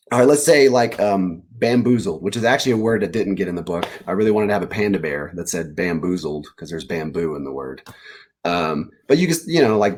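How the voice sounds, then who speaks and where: A man talking casually as an author guest in an interview, animated and rambling as he pieces ideas together aloud. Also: deep voice. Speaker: children's book author, guest. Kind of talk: casual interview answers about writing.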